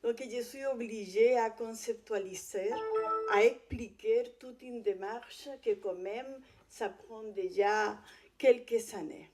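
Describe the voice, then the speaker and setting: A woman from Chile with a Spanish accent, a native Spanish speaker, giving a conference presentation in a hesitant, halting way. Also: a little high, a little mellow, faint music behind. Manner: hesitant, halting delivery with non-native phrasing. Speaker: guest speaker, visual artist.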